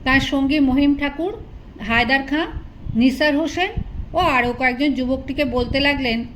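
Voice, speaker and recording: High-pitched woman narrating a story. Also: normal speed, a little hiss or hum. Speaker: audiobook narrator.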